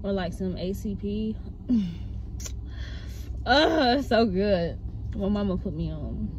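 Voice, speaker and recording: A woman's voice: medium pitch, expressive, slow pace. A young woman, chatting casually into her phone while she drives, rambling with lots of fillers. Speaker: vlogger. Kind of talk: casual chat while driving. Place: in a car on the road. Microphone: phone mounted in the car. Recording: dull phone audio, loud road noise.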